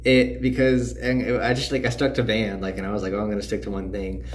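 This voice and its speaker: A man's voice: fairly low pitch, level tone, fast talker, slight background noise. A teenage male podcast guest chatting casually and ramblingly, saying "like" often. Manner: rambling, heavy use of "like". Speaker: podcast guest, student actor. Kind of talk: casual chat.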